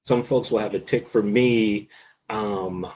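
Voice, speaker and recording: A man's voice: fairly low pitch, fairly even intonation, slow pace, a little mellow, husky. A man who stutters, talking in a casual discussion as a guest, with frequent hesitations and repeated words.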